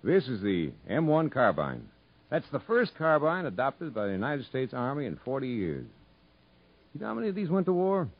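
Earnest fatherly captain, husky, old radio. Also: mid-range voice, wildly swooping pitch. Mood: earnest, reflective. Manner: measured, emphatic storytelling with rhetorical questions. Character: prison captain, earnest fatherly man telling a boy a story. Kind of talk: 1950s radio drama acting. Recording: muffled vintage 1950s radio recording.

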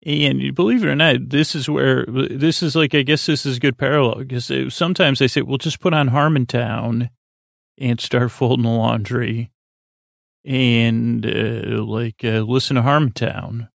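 Guy doing a sleepy-time podcast monologue, creaky voice, super dry sound, stumbles and wanders mid sentence. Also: medium pitch, steady tone, no echo. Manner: meandering, halting, stammering, trails off mid-thought; acts out imagined back-and-forth dialogue. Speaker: podcast host. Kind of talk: rambling bedtime monologue.

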